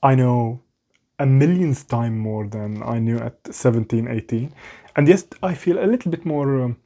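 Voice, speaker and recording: A young man speaks English with a clear foreign accent in a mellow, relaxed voice. He rambles candidly to the camera and pokes fun at himself. It is a casual vlog from a small room at home. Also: mid-range voice, moderate pace. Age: young adult. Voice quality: non-native English accent. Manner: rambling, self-mocking, restarts often. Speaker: vlogger.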